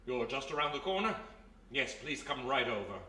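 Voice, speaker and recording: A man's voice: medium pitch, lively intonation, normal speed, bright, forceful tone. Guy playing nervy old-radio museum scholar, dramatic, swings all over, very echoey and far from mic. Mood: urgent, alarmed. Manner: theatrical, muttering then exclaiming. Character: anxious scholarly male museum curator, alarmed and dramatic. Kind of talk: live old-time radio play acting. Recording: echoey live hall, distant mic, faint noise.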